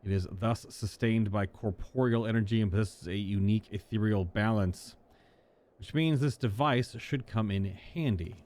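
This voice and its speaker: A man reading game dialogue as a learned, methodical tracker, in a measured, formal, explanatory way. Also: lowish voice, a little mellow, lightly acted. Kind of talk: game dialogue read aloud.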